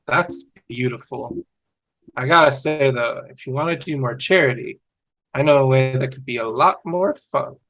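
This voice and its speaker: A man's voice: mid-range voice, normal speed, fairly bright tone, close to an everyday voice, rough recording. A man playing a cheerful, dim-witted young man in a scripted comedy read over a video call. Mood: upbeat, sincere. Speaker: fan table-read actor.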